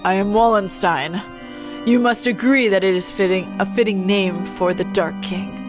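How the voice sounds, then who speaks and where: A woman's voice: medium pitch, fairly even intonation, over music, voice pushed down, natural voice. A woman voicing a coolly menacing male partridge sorcerer in a visual novel, grandiose and ominous. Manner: slow, grandiose, ominous villain declamation.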